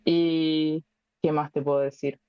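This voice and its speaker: A woman in her twenties with an Argentine Spanish accent, a guest speaking casually and thoughtfully, with many pauses and fillers. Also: deep voice, little pitch movement, clear, resonant tone, dry recording, muffled recording. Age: 20s. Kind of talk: casual interview chat.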